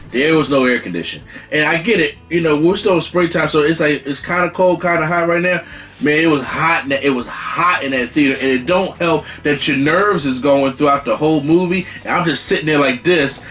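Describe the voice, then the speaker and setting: A man hosts a movie review in a loose, rambling way. He leans on slang and swears now and then. His voice sits a little high, with soft guitar music playing under it. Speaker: movie reviewer, channel host. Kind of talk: casual spoken movie review.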